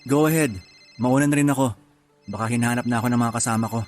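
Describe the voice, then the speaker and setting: An actor in a radio drama playing a soft-spoken, laid-back young male traveler who casually mixes English into his Tagalog. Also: medium pitch, steady tone, fast, faint music behind, lightly acted. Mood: easygoing.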